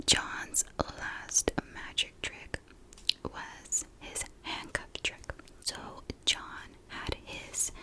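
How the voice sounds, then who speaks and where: A woman whispering a true crime story in a soft, breathy ASMR style, unhurried and informal, like chatting to viewers. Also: poor audio. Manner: unhurried, informal, conversational narration.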